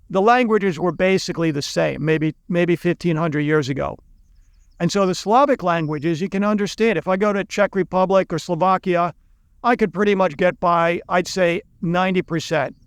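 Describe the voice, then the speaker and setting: A man's voice: sits high, clear, resonant tone, dry recording. A middle-aged American man, a college teacher, giving a loose, rambling talk to camera with restarts and asides. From United States. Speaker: college teacher and video lecturer.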